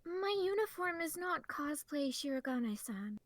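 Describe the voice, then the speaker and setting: A woman acts out a composed, proper maid from an anime comic dub. Her high voice stays formal and gently reproving, with a dry recording. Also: big pitch swings, normal speed, dry recording. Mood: stern. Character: prim, composed young maid, politely stern.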